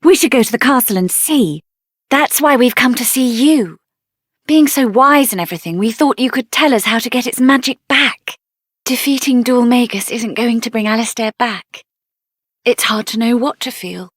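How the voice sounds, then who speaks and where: A woman voicing a feisty, sassy, sharp-tongued young sorceress out for revenge in a video game. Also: a little high, normal speed, no room sound, clean studio sound, natural voice. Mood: earnest, subdued.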